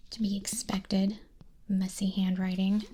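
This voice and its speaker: A woman playing a sardonic, bored demon clerk in a whispered ASMR roleplay, soft-spoken and condescending, close to a binaural microphone. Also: medium pitch, little pitch movement, unhurried, a little hiss or hum, lightly acted. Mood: scolding, dry. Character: sardonic, bored female demon clerk registering sinners in Hell.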